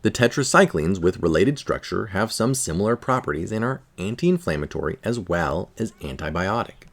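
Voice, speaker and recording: A man reading a scientific article aloud in a careful, measured manner. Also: low voice, animated. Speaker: article reader.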